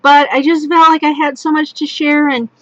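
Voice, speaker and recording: Craft demonstrator, woman, hoarse and fading voice, chatty with fillers, slightly high pitch, dull tabletop recording. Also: level tone, moderate pace, fairly bright tone. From Northern California. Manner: rambling, full of ums and restarts. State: losing her voice, coughing. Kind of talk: casual product walkthrough. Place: indoors at a wooden table. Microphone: overhead camera on a tabletop, hands only.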